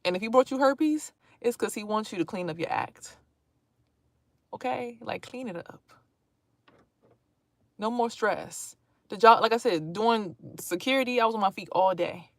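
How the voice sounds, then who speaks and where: A woman's voice: medium pitch, moderate pace, long pauses, dry recording, studio quality. Blunt chatty woman in her thirties. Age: 30s. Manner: blunt, animated, swearing freely, chatty asides to viewers. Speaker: vlogger streaming to viewers. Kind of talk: casual live-stream talk.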